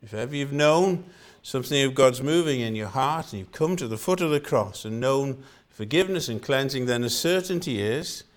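An older man from Wales with a Welsh accent, preaching a sermon in an earnest style and repeating phrases for emphasis. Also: medium pitch.

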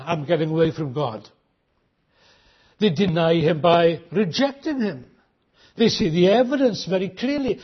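A man delivers a sermon in a husky, fairly high voice, pressing his listeners with rhetorical questions. He slows right down on the key phrases to drive them home. The recording sounds dull and lo-fi. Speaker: preacher.

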